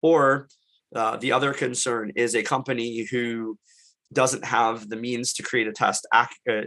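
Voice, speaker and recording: A man's voice: on the low side, pushed and bright. A man who is congested from illness, talking through a panel discussion in a rambling way, with many fillers and restarts. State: congested, getting over illness. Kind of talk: conversational panel talk.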